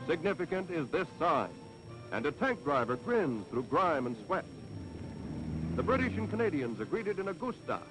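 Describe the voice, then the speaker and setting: An American man delivers vintage 1940s newsreel narration, pitched fairly high. His reading is scripted, declamatory and stirringly patriotic, set against prominent orchestral music on an old film soundtrack. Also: sing-song, moderate pace, poor audio.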